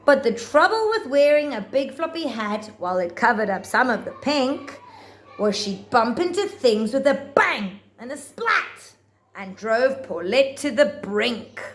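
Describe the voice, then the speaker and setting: A woman reads a children's picture book aloud in a fairly high voice. Her pitch moves around expressively, and she takes her time, placing phrases deliberately and theatrically for young listeners. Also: very animated, slight background noise. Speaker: children's storybook reader. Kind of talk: expressive read-aloud of rhyming picture books.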